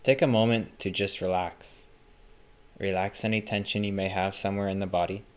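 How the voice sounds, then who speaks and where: A man with a low-pitched voice guides a meditation. He gives his scripted instructions calmly and gently, in a measured, teacherly manner. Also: smooth.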